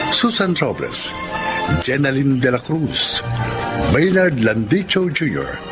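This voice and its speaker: Male announcer, radio drama narration, formal, lively intonation, mid-pitched, music bed. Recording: music behind the voice.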